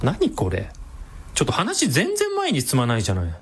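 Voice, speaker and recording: An actress playing a brash, sardonic villainous woman with a sharp-tongued, theatrical manner in a comedic radio drama. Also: deep voice, wildly swooping pitch, normal speed, slightly rough, exaggerated voice. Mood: exasperated.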